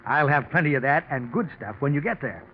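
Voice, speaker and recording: A man's voice: mid-range voice, expressive, fairly quick, lightly acted. A man playing a well-off, scheming businessman in a 1940s radio mystery, genteel and coaxing with a nervous edge. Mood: coaxing, evasive. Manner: genteel, coaxing, repeats words. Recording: scratchy, narrow-band 1940s radio recording.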